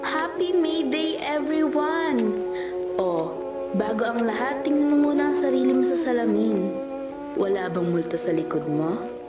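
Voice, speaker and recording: A woman's voice: sits high, normal speed, distant mic. Filipino woman radio host, echoey. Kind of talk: scripted dramatic radio introduction. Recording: roomy echo, loud music behind.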